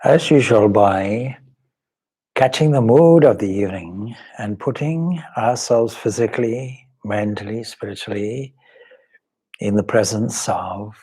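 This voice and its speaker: A man guiding a meditation as a spiritual teacher, speaking in a measured, solemn manner with long pauses. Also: on the low side, mellow, husky. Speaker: swami leading guided meditation. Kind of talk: guided meditation, spoken instruction.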